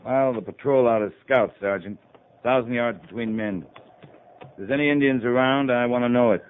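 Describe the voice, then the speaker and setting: An American actor playing a stern, demanding cavalry captain in a 1950s radio drama, giving clipped orders and challenging questions. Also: male voice, mid-range voice, a little fast, phone-quality sound, natural voice. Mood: brisk, commanding. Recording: noisy, band-limited 1950s radio recording.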